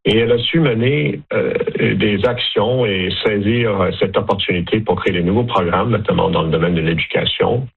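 A man's voice: on the low side, moderate pace, gravelly, few pauses, breathy edge. A man from Alberta with a Canadian French accent, answering radio interview questions with many hesitations and restarts. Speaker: interview guest, director general of a francophone federation. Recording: lo-fi phone-line radio with faint music.

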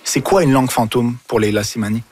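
A man hosting a casual radio panel chat, playful and self-mocking, quick to laugh. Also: mid-range voice, steady tone, darkish tone, slightly rough. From Africa. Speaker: radio show host.

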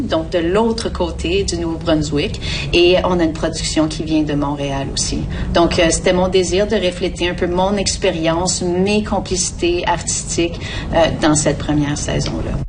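A woman from Canada with a French-Canadian accent, giving spontaneous interview answers as a theatre artistic director, in halting, reworked phrases. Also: fairly even intonation. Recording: distant microphone, clear rumbling background noise.

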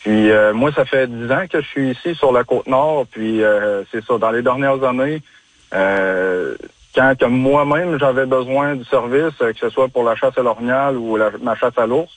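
A young man from Quebec with a Quebec French accent, talking casually as a radio guest, halting with many pauses and restarts. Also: medium pitch, low-quality recording. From Chaudière-Appalaches, Quebec. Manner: halting, frequent hesitations and restarts. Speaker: guest, bloodhound handler.

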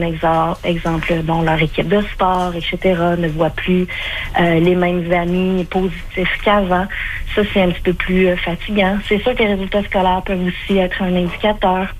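Québécois woman, low flat voice. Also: a little hiss or hum, poor audio. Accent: Québécois French. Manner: hesitant, many fillers and restarts. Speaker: clinical spokesperson, radio guest. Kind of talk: radio interview answers.

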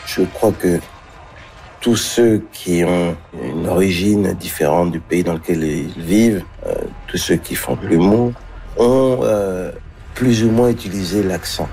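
Man, comedian, low voice, hesitant, reflective interview. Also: mellow, faint music behind. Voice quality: hesitant, many pauses.

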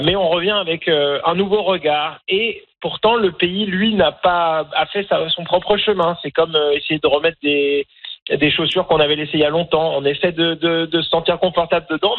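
A man's voice: a little high, normal speed. French man on a tinny phone line. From France. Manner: hesitant, many fillers, reflective. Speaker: documentary filmmaker guest. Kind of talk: radio interview.

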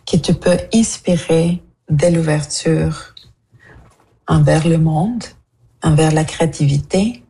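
A woman from Mexico reading a heartfelt, measured voicemail message to a radio show. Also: deep voice, creaky, mellow, poor audio. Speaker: listener leaving a voicemail.

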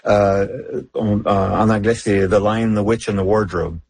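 A middle-aged man, a novelist whose first language is English, speaking French haltingly in a radio interview with many fillers and restarts. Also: fairly low pitch, fairly even intonation, gravelly, darkish tone, slightly rough, no room sound. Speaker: novelist guest.

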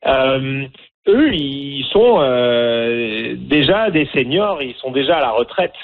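A man's voice: medium pitch, big pitch swings, slow. A middle-aged man from France with a French accent, a documentary filmmaker interviewed on the radio, talking with many fillers and repeated words. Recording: narrow phone-line sound.

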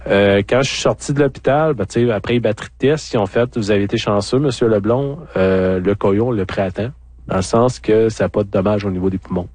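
A man with a Quebec French accent speaks in a low voice, recorded dry. He is an interview guest recounting his own experience in a candid, colloquial, conversational way. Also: fast talker, a little mellow, no room sound. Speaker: interview guest, former farmer. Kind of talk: conversational personal storytelling.

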